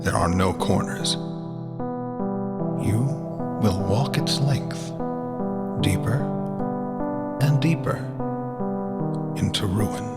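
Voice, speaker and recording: An actor playing an ominous disembodied voice in an audio drama, cryptic and menacing. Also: male voice, lowish voice, expressive, slow, vocal fry, pauses often, full character voice. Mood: cryptic menace. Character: ominous disembodied male voice haunting a mind. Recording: long reverb, loud music behind.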